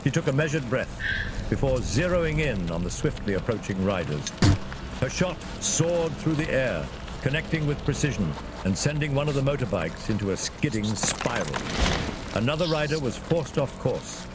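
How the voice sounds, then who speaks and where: Dude narrating a fantasy audio story, very expressive, clear rumbly ambience behind him. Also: male voice, moderate pace, heavy background noise. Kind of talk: dramatic scripted fantasy narration.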